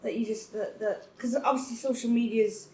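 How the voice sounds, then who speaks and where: A woman's voice: on the high side, fairly even intonation, normal speed, a loud crowd. A woman chatting sympathetically in a group discussion, often murmuring agreement. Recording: roomy, mic at a distance.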